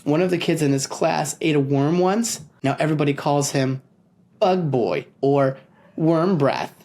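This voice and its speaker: Animated man reading stories aloud. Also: on the high side, unhurried. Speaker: storytelling podcast host and narrator. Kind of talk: expressive read-aloud children's story narration.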